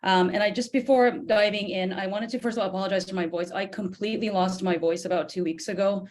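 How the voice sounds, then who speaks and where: A hoarse woman on a video call, originally from Japan, introducing a guest and asking polite, thoughtful questions in an academic book talk. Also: mid-range voice, steady tone, fast talker, darkish tone, a little hiss or hum. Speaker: discussant.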